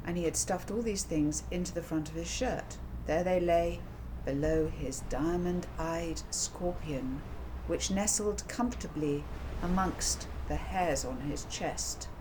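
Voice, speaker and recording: A woman reading a children's story aloud as its author, in an expressive, deliberate, theatrical narrating style. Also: fairly low pitch, background noise.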